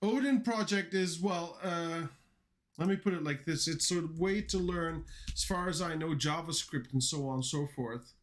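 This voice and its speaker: Rambling man chatting on a coding stream. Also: fairly high pitch. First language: Dutch and Turkish. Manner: rambling, halting, lots of fillers and restarts. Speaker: coding livestreamer. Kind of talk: casual livestream chat while coding.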